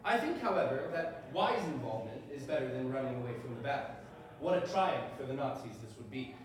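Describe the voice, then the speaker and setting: A man on stage playing a principled defense attorney with a measured, rhetorical courtroom delivery. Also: mid-range voice, very animated, close to an everyday voice. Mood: measured, firm. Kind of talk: live stage readers theater. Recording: distant mic, echoey room, faint noise.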